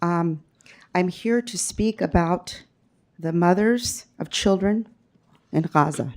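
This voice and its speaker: A woman reading a poem aloud at a council meeting, loud and impassioned. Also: fairly low pitch, slow. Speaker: resident public commenter.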